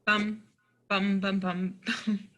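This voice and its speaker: A woman's voice: mid-range voice, little pitch movement, slow, pushed and bright. A woman playing an eager, nervous, naive small-town young woman in a play reading, hesitant and full of questions. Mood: eager, excited. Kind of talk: play reading, stage comedy-drama dialogue.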